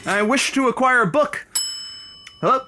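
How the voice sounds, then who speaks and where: A man's voice: very high voice, expressive, normal speed, noisy background. A man playing a naive, easily amazed first-time library patron in a comic audio drama. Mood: hesitant, polite.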